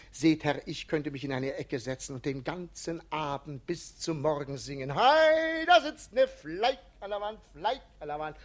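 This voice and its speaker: An actor playing a slightly drunk, witty servant in a 1950s radio play, mocking and breaking into sing-song. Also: male voice, high voice. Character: tipsy, witty male servant and jester-like friend.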